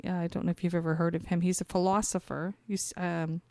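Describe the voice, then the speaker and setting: A woman's voice: fairly low pitch, flat delivery, quick pace, warm tone, no echo. A woman podcast host talking in a casual, rambling spiritual monologue, conversational and thinking aloud as she searches for her words.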